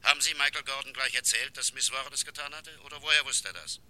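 A man's voice: mid-range voice, quick pace, pushed and bright. A man acting an authoritative state prosecutor in a radio crime drama, incisive, official and insistent. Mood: assured, pressing.

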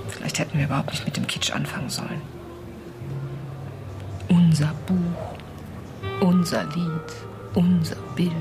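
An ambitious young woman facing an old lover, guarded and cool. Her delivery is naturalistic radio drama, a little defensive. Music sits clearly under the voice. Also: sits low, steady tone, unhurried, pauses often. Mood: cool, needling.

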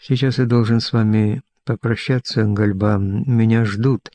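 A reserved, courteous man with a wry streak read by a male narrator. He talks slowly, in audiobook dialogue with a close, dry sound. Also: fairly low pitch, little pitch movement, dark tone, no echo, close to an everyday voice.

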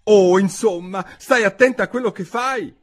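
A curious, well-mannered little girl, as a male narrator puts her on in a storybook reading, pitched well above his own voice with lively swings up and down. She sounds earnest and eager, with a husky grain underneath. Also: unhurried. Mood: alarmed. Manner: earnest and eager, sometimes flustered.

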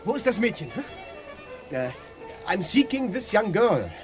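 Agitated male searcher, radio drama: raised pitch, wildly swinging intonation, urgent, over music. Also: very animated, a little slow. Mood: confused, pressing. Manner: urgent calling and muttering. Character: agitated, urgent male traveler hunting for a girl.

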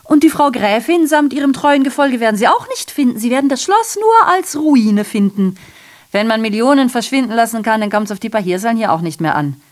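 An actress playing a sardonic messenger and broadcaster of grim past events in a radio drama, mocking and theatrical, sometimes confiding. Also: female voice, fairly high pitch, expressive, a little fast, a little mellow, lightly acted. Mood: sinister, confiding.

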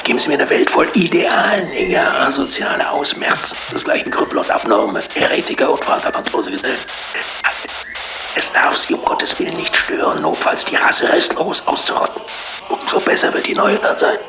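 A man's voice: on the high side, sing-song, moderate pace, background noise. An actor playing a fanatical male zealot, ranting and declamatory, in a radio drama.

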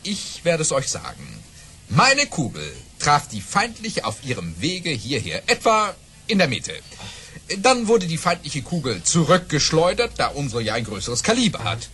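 Boastful baron, wildly animated delivery. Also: male voice, fairly high pitch, moderate pace, a little hiss or hum, full character voice. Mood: dramatic, self-important. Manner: grandiose, dramatic, boastful. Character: boastful baron telling tall tales of his exploits. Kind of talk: theatrical audio play storytelling.

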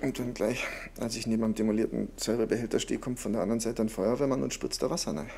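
An actor playing a laconic, wry wounded rocket technician in a naturalistic radio drama. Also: male voice, mid-range voice, fairly even intonation, very fast, gravelly, warm tone, husky. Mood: matter-of-fact recounting. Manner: offhand, wry, colloquial.

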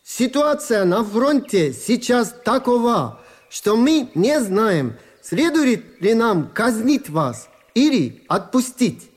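An actor playing a formal military interpreter who translates for prisoners in a measured manner. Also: male voice, extremely high pitch, sing-song, slightly rough, full character voice. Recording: long hall reverb.

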